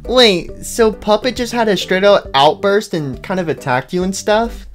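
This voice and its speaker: Woman as a lively, cheeky cartoon animatronic chicken. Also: medium pitch, normal speed, faint music behind, close to an everyday voice. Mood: curious, dramatic. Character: dim, food-loving female animatronic chicken, cheeky and excitable. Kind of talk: improvised comedic character roleplay.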